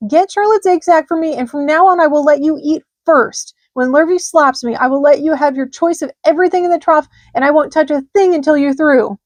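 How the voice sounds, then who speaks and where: A woman voicing an excitable young male pig who pleads loudly and desperately. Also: brisk, few pauses, dry recording, lightly acted. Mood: pleading. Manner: high, loud, desperate pleading.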